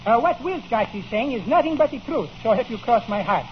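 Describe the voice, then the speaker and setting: An actor playing an excitable restaurant owner who mangles his English in an old radio comedy. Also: extremely high pitch, a little fast. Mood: jovial, welcoming. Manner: garbled syntax, malapropisms, familiar. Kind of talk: 1930s radio dialect comedy. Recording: muffled old radio recording, faint hiss.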